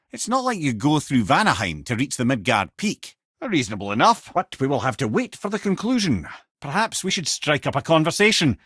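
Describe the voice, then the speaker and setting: A man's voice: mid-range voice, a bit bright, no room sound. A witty old Scottish sage for a video game. His delivery swings expressively up and down as he spins tales with wry, eloquent theatricality. The sound is dry and close, with no room on it. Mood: wry, conversational. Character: witty, worldly-wise old male storyteller companion. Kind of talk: video game character dialogue, lore storytelling.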